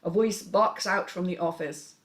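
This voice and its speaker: A woman reads a screenplay aloud as its narrator. Her voice is on the low side, and she delivers scene headings and action lines in a scripted storytelling style. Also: normal speed. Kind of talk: scripted narration, reading stage directions.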